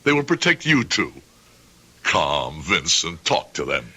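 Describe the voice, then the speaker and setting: Older proud shoemaker papa, raspy low voice, earnest and stubborn, radio drama. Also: male voice, wildly swooping pitch, slow pace, bright, forceful tone, full character voice. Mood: indignant, pleading. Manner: earnest, emphatic, formal simple phrasing. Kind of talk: old-time radio drama acting.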